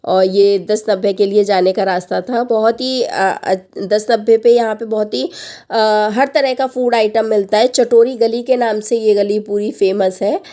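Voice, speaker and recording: A woman's voice: medium pitch, fairly even intonation, mellow. Woman vlogger with a sore throat. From Lucknow, India. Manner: chatty, lists prices with frequent hesitant pauses. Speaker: family vlogger. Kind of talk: voice-over narration of a family outing.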